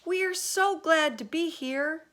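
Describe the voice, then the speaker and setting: A cheerful young boy from a storybook, put on by a woman reader. He chants rhymes in a high, sing-song voice with a swooping lilt. The delivery is slow and eager. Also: very animated. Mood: excited.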